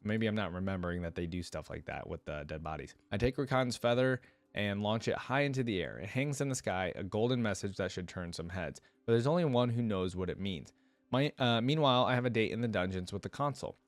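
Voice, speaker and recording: Guy with a kinda low voice reading a story out loud, casual reaction video style w slangy comments. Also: fairly quick, no echo. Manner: casual, informal asides with slang. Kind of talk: narrative read-aloud with casual reactions.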